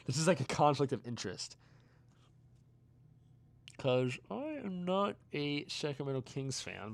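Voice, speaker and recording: A young man talks loosely with his viewers as he plays, his voice worn and getting tired. He drifts into low mumbles and asides, then picks back up in quick bursts. The sound is close and dry, with no room echo. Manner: rambling, trails off, mumbles and quiet asides between bursts. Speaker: streamer reading chat. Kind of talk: casual chat while playing a video game.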